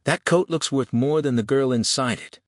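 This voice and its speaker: A man voicing a scornful, rough frontier man in a western audiobook, jeering with folksy bluntness. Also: medium pitch, normal speed, dry recording, studio quality, voice pushed up, natural voice. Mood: mocking scorn. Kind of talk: audiobook character acting.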